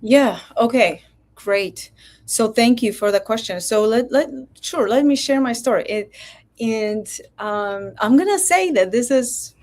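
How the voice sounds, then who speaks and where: A woman's voice: a little high, lively intonation, unhurried, a little mellow. A middle-aged woman from Poland, a physician guest telling her story in a candid, reflective way with many hesitations. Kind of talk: candid personal interview talk.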